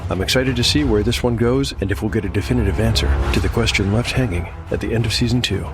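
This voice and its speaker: A man's voice: fairly low pitch, quick pace. A man speaking as a podcast narrator and host in a scripted, storytelling style. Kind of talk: scripted dark fantasy narration. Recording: music bed under the voice. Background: music.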